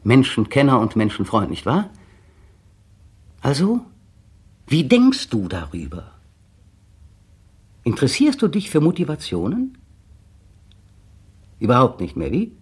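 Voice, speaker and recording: A brooding, bitter monologuist. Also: male voice, mid-range voice, huge pitch swings, a little slow, long pauses, a little mellow, husky, exaggerated voice. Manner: brooding, bitter, precise diction. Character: tense bitter man brooding on his partner. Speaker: male narrator of a radio play. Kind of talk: 1960 radio drama inner monologue.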